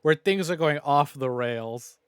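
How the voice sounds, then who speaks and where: A man's voice: on the high side, expressive, measured pace, smooth, no echo, clean studio sound. A man hosting a livestream, giving casual, teasing reactions to a visual novel as he plays. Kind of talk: casual reactive game commentary. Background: game audio and music.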